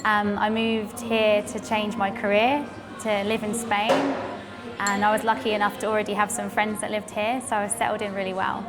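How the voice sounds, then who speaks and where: A young Englishwoman with an English accent, an English teacher answering interview questions with soft "um" fillers. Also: medium pitch, little pitch movement, normal speed, over music. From England.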